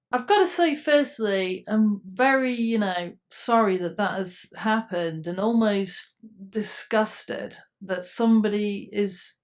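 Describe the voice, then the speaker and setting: A woman hosts an interview, speaking at a middle pitch. She sounds sympathetic and emphatic as she responds to her guest. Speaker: interviewer, host. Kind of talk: interview conversation.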